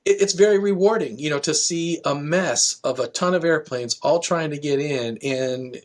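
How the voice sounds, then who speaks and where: A middle-aged man speaking as an interview guest, explaining things conversationally through examples and anecdotes. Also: medium pitch. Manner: explanatory, illustrates with examples and quotes. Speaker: guest, air traffic control manager.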